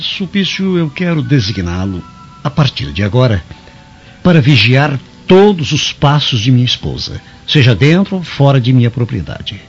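An actor playing a jealous, suspicious Roman master in a Brazilian radio soap, with a wary, commanding manner. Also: male voice, medium pitch. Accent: Brazilian Portuguese. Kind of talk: radio soap opera acting. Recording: dull lo-fi radio drama, music behind.

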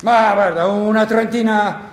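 A man playing a brash, bossy partisan commander in a theatrical radio play, loud, indignant and demanding. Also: sits high, steady tone, unhurried. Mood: blustering. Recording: roomy echo with faint noise.

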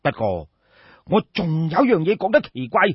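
A male narrator puts on a proud court official, courteous on the surface and full of doubt. He questions formally in a husky voice with swooping pitch, recorded in an echoey, slightly dull room. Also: huge pitch swings, normal speed, full character voice. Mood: grudging, puzzled.

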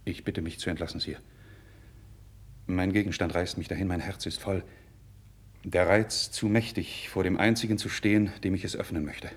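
A man's voice: low voice, fairly even intonation, normal speed, breathy edge. An actor playing an idealistic, proud young nobleman in a classical radio drama, with earnest, declamatory, formal diction. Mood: fervent. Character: idealistic young nobleman, passionate, proud, candid before his king. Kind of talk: 1950s German radio drama, classical verse acting.

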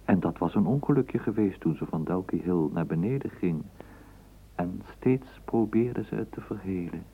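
An actor narrating a literary radio play as a measured, lyrical male storyteller. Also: deep voice, expressive, breathy edge, heavily acted. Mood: tender, measured. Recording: muffled, noisy old radio play recording.

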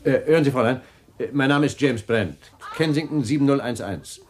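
An actor in a radio drama playing a flustered party host who grows indignant on the phone. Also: male voice, mid-range voice, a little fast, close to an everyday voice. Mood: troubled. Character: James Brent, rattled man hosting a party.

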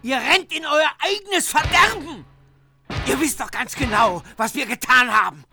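An agitated warrior retainer in a radio drama, warning of doom. His voice is pushed high and urgent, with music clearly behind it. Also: moderate pace. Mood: alarmed, warning. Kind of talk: German radio play acting.

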